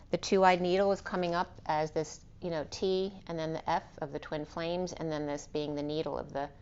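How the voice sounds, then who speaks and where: A woman with a hoarse, fading voice giving an unscripted spiritual tarot reading, meandering and correcting herself as she lists cards and numbers. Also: deep voice, dull audio. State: losing her voice. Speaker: tarot reader.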